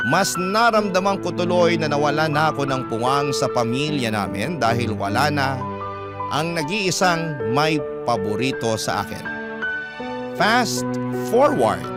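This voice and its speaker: A man with a Filipino accent reading a letter as a radio storyteller, dramatic, solemn and sentimental. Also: mid-range voice. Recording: loud music bed under voice. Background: loud instrumental music.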